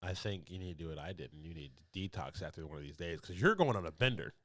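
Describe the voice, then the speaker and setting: A young man with a low voice, talking loosely and rambling on a podcast. The sound is close and dry, with no room around it. He laughs between thoughts and keeps restarting his sentences. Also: animated, fast, fairly bright tone, no echo. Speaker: podcast co-host. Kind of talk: casual chat. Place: podcast studio.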